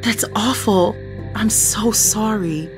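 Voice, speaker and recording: A woman's voice: medium pitch, slow, over music, natural voice. A woman playing a troubled, remorseful survivor in an emotional video game scene. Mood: distressed, remorseful.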